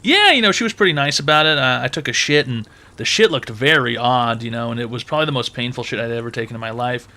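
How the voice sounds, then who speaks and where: A man's voice: medium pitch, fast, hardly any pauses, bright, forceful tone. A man hosting a call-in advice show, brash and mocking with crude, profane jokes.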